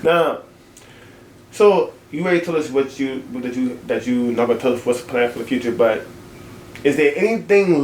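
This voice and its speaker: A man's voice: mid-range voice, darkish tone, a little hiss or hum. Upbeat male interview host, echoey room. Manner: upbeat, promotional, repeats words. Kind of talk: casual interview chat.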